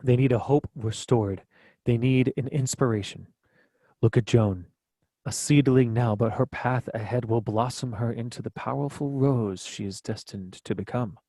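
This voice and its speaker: A man plays a grave archangel with a soft, mellow voice of middling pitch. He pronounces on destiny in solemn, declamatory phrases, reading a stage play in a dry, close sound. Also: normal speed, dry recording, close to an everyday voice. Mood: earnest, solemn. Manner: grave, declamatory, occasionally stumbling over the script. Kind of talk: virtual table read of a play.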